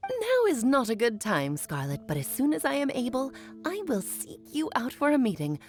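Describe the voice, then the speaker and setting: A woman voicing a playful, wise, centuries-old mage in a video game, telling her tales theatrically with emphatic pauses. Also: high voice, wildly swooping pitch, faint music behind. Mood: warm, reflective.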